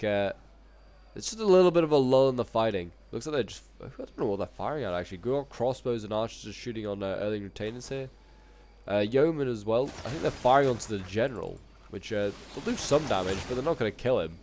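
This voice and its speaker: A man with a bit of a cold, chatting casually through strategy-game commentary, rambling along and then bursting out excitedly at big moments. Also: fairly low pitch, fast. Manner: chatty, with sudden excited outbursts at big plays. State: sniffly, coming down with a cold.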